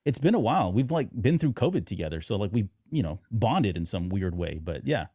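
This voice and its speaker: Male podcast host, middle-aged. Animated pitch, soft-edged mellow tone, dry close sound, chatty and informal. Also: fairly quick, dry recording. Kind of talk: casual podcast chat.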